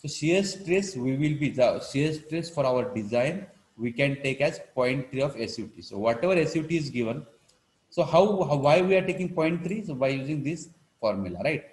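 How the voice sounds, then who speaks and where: A man with an Indian accent teaching a live online engineering class, explaining step by step in a halting, repetitive way with frequent check-in words. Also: mid-range voice, lively intonation, darkish tone, low-quality recording. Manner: halting, repetitive, punctuated with check-in words like "right" and "you know". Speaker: engineering lecturer.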